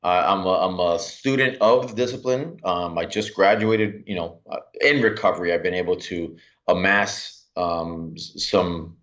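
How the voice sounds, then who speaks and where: A man's voice: low-pitched, a bit bright. A man in his forties from Miami, telling his story earnestly in an interview, often restarting his sentences. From Miami, USA. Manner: earnest, halting, many restarts. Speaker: podcast guest. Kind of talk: reflective interview talk. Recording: dull lo-fi sound.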